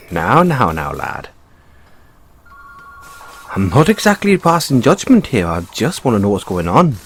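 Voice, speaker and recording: Man playing a lilting, animated Irish bunny-boy mechanic. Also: fairly low pitch, measured pace, long pauses, husky, exaggerated voice. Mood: probing. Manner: gently probing, wry understatement, hesitant phrasing. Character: polite, inquisitive Irish bunny-boy car mechanic, male. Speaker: audio roleplay performer. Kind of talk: audio roleplay acting.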